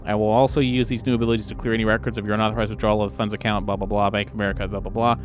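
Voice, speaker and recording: A man's voice: lowish voice, level tone, very fast. A man playing a tabletop role-playing game, speaking informally at the table and sometimes reading game text aloud. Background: music.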